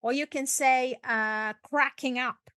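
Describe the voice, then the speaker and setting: A woman hosting a casual, conversational language lesson as the teacher, expressive and often prompting and repeating words. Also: high-pitched, takes it slowly, clean tone, no echo, studio quality. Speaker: English teacher and podcast host.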